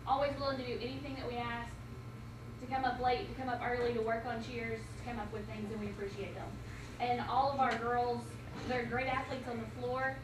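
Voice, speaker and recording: A woman's voice: a little high, level tone, a little fast. Earnest woman coach in an echoey hall. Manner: earnest, listing achievements. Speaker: cheer coach. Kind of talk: season recap speech. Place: school banquet room, indoors. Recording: echoey hall from far off, noisy.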